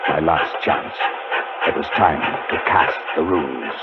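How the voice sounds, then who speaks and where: A haunted, desperate man tells his tale in a very low voice, dramatic and deliberate. It is 1940s radio suspense, muffled by the old broadcast, with music prominent behind him. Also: gravelly, exaggerated voice. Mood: tense dread. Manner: tense, dramatic, deliberate delivery. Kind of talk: 1940s radio acting, first-person suspense narration.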